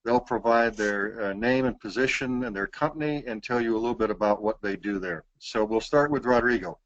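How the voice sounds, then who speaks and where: A man's voice: lowish voice, brisk, highs cut off. A man co-hosting and moderating an online panel, introducing speakers politely with frequent fillers. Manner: courteous, filler-heavy introductions. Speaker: co-host and moderator.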